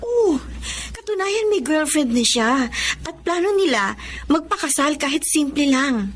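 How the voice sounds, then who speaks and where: Dalagang anak, napakataas ng boses. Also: female voice, high-pitched, sing-song, unhurried. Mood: apologetic. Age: young adult. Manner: pleading, polite. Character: earnest, dutiful young daughter resisting arranged marriage. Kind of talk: Filipino melodrama acting.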